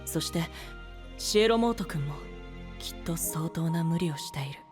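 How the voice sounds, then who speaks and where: A man plays an enigmatic young seer with a soft, soothing way of speaking. Each phrase is measured and gentle, in anime drama style, over clearly audible music. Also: fairly high pitch, animated, close to an everyday voice. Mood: calm, grave. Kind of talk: Japanese anime voice drama acting.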